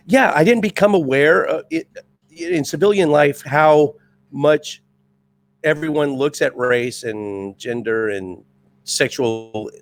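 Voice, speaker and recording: A middle-aged man with a raspy voice from years as a drill instructor, talking casually as a podcast guest in an intense, amped-up way. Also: mid-range voice. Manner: intense, amped-up, restless talker. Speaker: podcast guest, retired Marine first sergeant. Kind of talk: casual chat.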